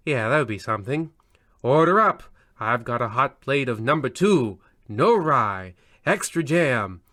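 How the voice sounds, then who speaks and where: A man playing a droll old-time mystery radio narrator, pompous and deadpan, drifting into grumbling asides. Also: medium pitch, a little slow, no echo, studio quality. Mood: daydreaming, grumbling.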